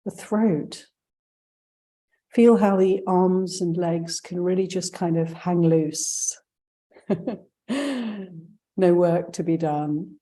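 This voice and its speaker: A woman leading a guided meditation as a teacher, giving gentle, unhurried instructions with pauses. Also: lowish voice.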